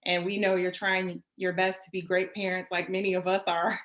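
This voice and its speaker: A woman's voice: lowish voice, level tone, moderate pace, a bit bright. A woman hosting a virtual event, speaking in an effusive, appreciative way. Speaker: event host.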